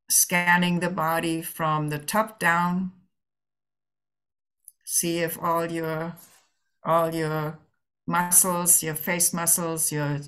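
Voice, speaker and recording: A woman leading a guided meditation as a Zen teacher, speaking gently and permissively in halting phrases with long pauses. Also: sits low, smooth.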